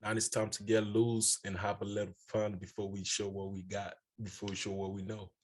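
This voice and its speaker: A teenage boy from Liberia speaking as a youth leader in a soft, measured way. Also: lowish voice, level tone. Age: teens. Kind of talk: scripted youth talk.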